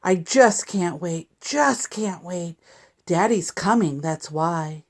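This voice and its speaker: Slow-paced woman reading a children's story. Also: fairly low pitch. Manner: deliberate, animated reading with sound effects and asides to listeners. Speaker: teacher reading a picture book to young students. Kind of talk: expressive children's story read-aloud.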